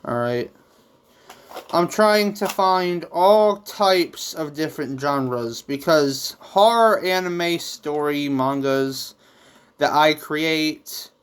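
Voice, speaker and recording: Rambling vlogger with slow, unhurried delivery. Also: medium pitch, clean tone. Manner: rambling, theatrical asides. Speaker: YouTube host and manga creator. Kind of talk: casual vlog update to viewers.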